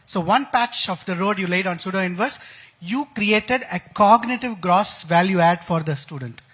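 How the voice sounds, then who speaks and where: A man's voice: sits high, lively intonation, normal speed, a bit bright, breathy edge. A man with an Indian accent, an enthusiastic expert guest explaining technical ideas in a conference interview. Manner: enthusiastic, uses analogies and technical jargon. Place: conference stage.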